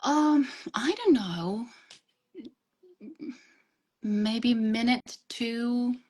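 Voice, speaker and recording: A young woman from Ukraine with a Ukrainian accent, Russian as her first language, answering interview questions earnestly on a video call, pausing often with fillers and restarts. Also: on the high side, a little mellow, low-quality recording. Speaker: guest teacher.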